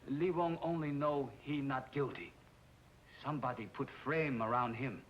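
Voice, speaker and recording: A man's voice: medium pitch, normal speed, a little hiss or hum, lightly acted. An actor playing an anxious townsman accused of murder in an old television western, protesting in clipped broken English. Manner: clipped broken English, speaks of himself by name. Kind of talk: 1950s television western acting. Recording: muffled old TV soundtrack, loud music.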